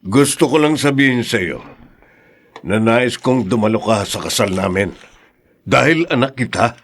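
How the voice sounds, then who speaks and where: A man's voice: mid-range voice, unhurried. An actor playing a father earnestly asking his daughter to come to his wedding, in a radio drama. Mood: pleading. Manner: calm, earnest, pleading. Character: patient father Mariano, set on remarrying.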